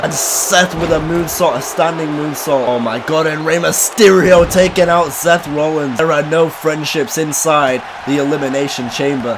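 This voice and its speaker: A man commentating his own wrestling video game, losing his voice, getting hyped and shouting at the big moves. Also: on the high side, background noise. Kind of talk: excited play-by-play commentary.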